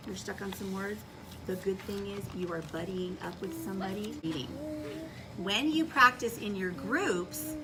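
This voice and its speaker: A woman schoolteacher giving instructions to children in a classroom, projecting her voice and checking understanding with questions. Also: a little high. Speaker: elementary school teacher. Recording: distant mic, noisy classroom.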